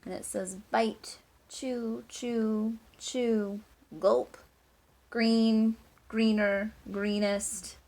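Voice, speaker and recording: A woman teacher reading a picture book aloud to children, with expressive emphasis, drawn-out words and hushed asides. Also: on the high side, creaky.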